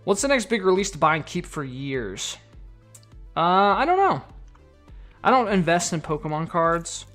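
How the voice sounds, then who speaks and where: A man's voice: high-pitched, big pitch swings, moderate pace, long pauses, a bit bright. Man, casual stream chat, reads donations, light background music. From United States. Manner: chatty, reads viewer donations aloud. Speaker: card collector streamer.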